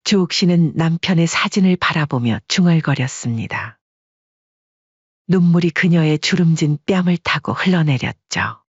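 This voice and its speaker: Male story narrator: husky, steady dramatic reading, close dry recording. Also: a little high, moderate pace, no echo. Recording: dull lo-fi dry sound.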